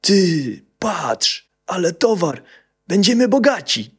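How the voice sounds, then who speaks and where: A man's voice: high-pitched, sing-song, takes it slowly, breathy edge, pitched above the performer's own voice, full character voice. A man voicing a greedy, threatening forest bandit in a children's fairy tale.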